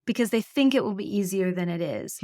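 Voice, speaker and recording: Casting: middle-aged Canadian woman, animated storyteller, mid pitch, dry close mic. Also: fairly quick, no echo. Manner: animated storytelling, laughs often. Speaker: marketing executive guest. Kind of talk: podcast interview conversation.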